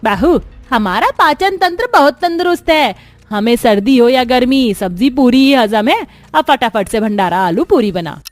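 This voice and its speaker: A woman's voice: a little high, expressive, moderate pace, soft background music, natural voice. A woman voicing a domineering older mother-in-law in a cartoon, brusque and commanding. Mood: indignant.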